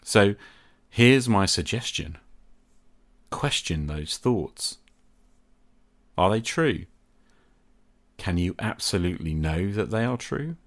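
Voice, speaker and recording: Guy doing a thoughtful solo podcast, really low voice, short deliberate phrases, asks himself questions. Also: animated. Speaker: podcast host. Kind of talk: reflective solo podcast monologue.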